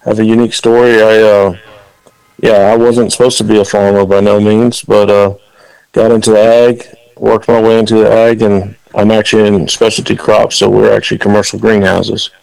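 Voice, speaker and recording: A man's voice: fairly low pitch, little pitch movement, normal speed, creaky, a little mellow, clear, resonant tone, no room sound, rough recording. A man from the Dominican Republic, a farmer guest on a radio interview, talking earnestly with frequent fillers.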